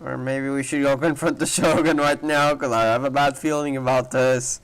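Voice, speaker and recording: A man's voice: mid-range voice, steady tone, normal speed, smooth, low-quality recording. A man giving casual reaction commentary as a gaming streamer, musing and questioning aloud.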